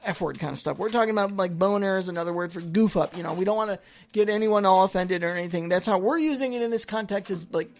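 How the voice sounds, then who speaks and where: A radio host presenting an absurd comedy show in a casual, halting, repetitive and mock-serious way. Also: medium pitch.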